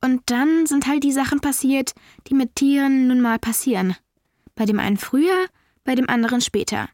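A woman's voice: sits high, moderate pace, darkish tone, natural voice. A woman playing a stubborn, indignant young girl in a children's radio play, speaking in a sulky, curt way. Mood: explaining.

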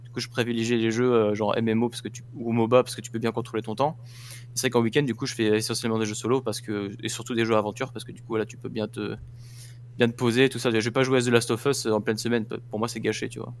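A man's voice: medium pitch, level tone, fast, a little hiss or hum. A man talking casually on a podcast, laying out his view with stumbling repetitions. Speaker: podcast co-host. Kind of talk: casual roundtable chat.

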